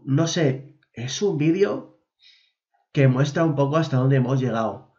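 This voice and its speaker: A man with a Spanish accent from Spain, hoarse from a cold, giving blunt, rambling reaction commentary. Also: mid-range voice, darkish tone. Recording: dull lo-fi sound.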